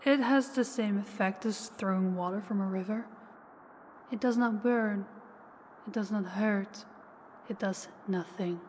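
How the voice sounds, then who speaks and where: A woman playing an ancient, powerful vampire in an audio roleplay, slow, deliberate and condescending, with calm menace toward the listener. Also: mid-range voice, close to an everyday voice. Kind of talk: intimate scripted audio roleplay addressed to listener. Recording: long hall reverb, faint background noise.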